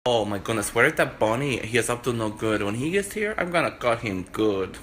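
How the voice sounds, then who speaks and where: A sassy storybook princess, acted by a man, impatient and bad-tempered. The delivery is forceful and very animated, with some noise in the recording. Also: mid-range voice, a little fast, lightly acted. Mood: irritated.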